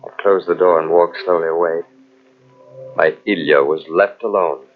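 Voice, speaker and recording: An actor playing a vengeful, jealous army captain in old-time radio mystery style, with a brooding, menacing, melodramatic delivery. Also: male voice, sits low, fairly even intonation, moderate pace, faint music behind, natural voice. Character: vengeful, coldly jealous army captain narrating his tale. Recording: muffled old radio show from cassette.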